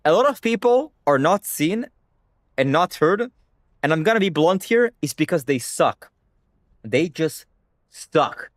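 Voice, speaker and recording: A man's voice: fairly high pitch, very animated, unhurried, pauses often, no echo, studio quality. A young man whose first language is Hebrew, talking bluntly to his stream chat and swearing freely. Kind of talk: casual livestream rant.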